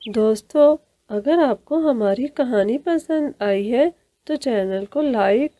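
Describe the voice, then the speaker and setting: A woman's voice: a little high, animated, slow, narrow, tinny audio, slight background noise. A woman reading scripted narration for a cartoon story as its storyteller.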